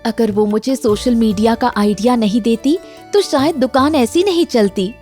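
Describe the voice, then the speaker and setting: An actress as a modest village shopkeeper wife in a cartoon tale. She has a fairly high, earnest voice with simple, hopeful delivery. Light music sits underneath. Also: normal speed, darkish tone, lightly acted. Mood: grateful, determined. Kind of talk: Hindi moral-story cartoon dialogue.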